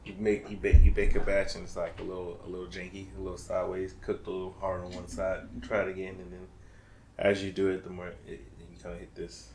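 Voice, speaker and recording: A man's voice: low voice, completely flat delivery, fast, crowd noise, low-quality recording. A man co-hosting a podcast, speaking in casual, informal conversation. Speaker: podcast co-host. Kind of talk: casual chat.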